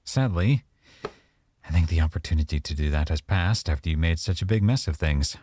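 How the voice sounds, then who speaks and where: A man playing a teasing, coy young mafia boyfriend with veiled menace, in an unhurried, intimate ASMR roleplay. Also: extremely low pitch, darkish tone, no room sound. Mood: coy, smooth.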